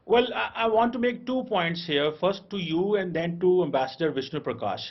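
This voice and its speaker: A man's voice: high voice, normal speed, lo-fi sound. A man commenting as an analyst on a debate panel. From Pakistan.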